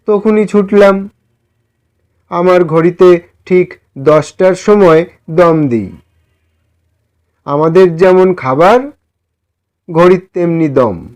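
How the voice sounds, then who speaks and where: A man voicing a meek, eccentric older gentleman fallen on hard times, earnest and apologetic, in a story. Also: sits high, slow, pauses often, voice pushed up. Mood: breathless, earnest. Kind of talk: acted dialogue in an audio story.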